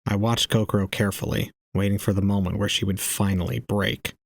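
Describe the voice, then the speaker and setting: Man acting flat, gravelly, brooding young man. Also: on the low side, a little fast, no room sound. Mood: cold brooding. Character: brooding, detached young man narrating inner thoughts. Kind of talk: first-person audio drama narration.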